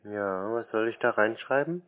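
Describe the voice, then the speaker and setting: A man on a phone call, answering tersely in a deadpan, obtuse way. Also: fairly low pitch, moderate pace. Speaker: scam-baiter playing a customer on the phone. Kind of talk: phone conversation. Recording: tinny, narrow-band phone line.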